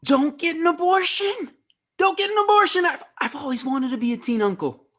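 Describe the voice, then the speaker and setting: A man playing an awkward, earnest teenage brother in a sitcom table read, stammering and halting. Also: very high voice, expressive, bright, forceful tone, exaggerated voice. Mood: pleading.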